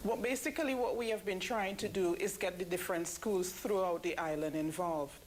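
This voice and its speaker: A woman's voice: on the low side, normal speed, a little mellow. A woman giving explanatory answers as an interview guest. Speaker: interview guest, church committee member. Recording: clear background noise.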